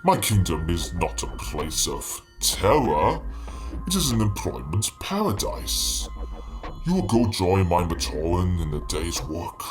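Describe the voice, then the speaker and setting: A man's voice: low-pitched, sing-song, measured pace, music in the background. A man voicing a menacing, smug robotic tyrant in a fan-dubbed cartoon, with a theatrical, mock-polite manner. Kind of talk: fan-dub cartoon villain acting.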